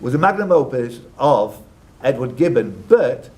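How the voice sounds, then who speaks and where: A man's voice: mid-range voice, big pitch swings, unhurried, a little mellow. A man hosting a live quiz in a club room, reading out questions and chatting with the contestants between them. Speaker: quiz host and question reader. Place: social club room with ambient noise behind. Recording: noisy live room recording.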